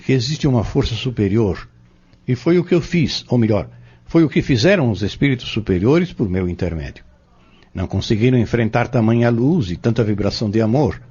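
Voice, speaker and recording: An actor playing a patient spiritist mentor in an audio drama, with a Brazilian accent and a formal, measured, preacherly delivery. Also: male voice, fairly low pitch, a little mellow, slightly rough. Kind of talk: audio drama acting, didactic monologue. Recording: dull lo-fi audio.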